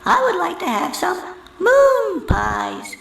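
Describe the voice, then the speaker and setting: A man voicing an eager, hungry young boy who shouts with excitement in a storybook reading. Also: very high-pitched, takes it slowly, creaky, voice pushed up, exaggerated voice. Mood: excited shouting. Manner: excitable, exclamatory.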